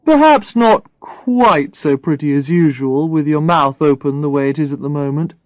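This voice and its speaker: A woman's voice: sits low, animated, moderate pace, darkish tone, dry recording, lo-fi sound. A woman reading the lines of a kind but tactless young man in a story, casual and blunt. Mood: teasing.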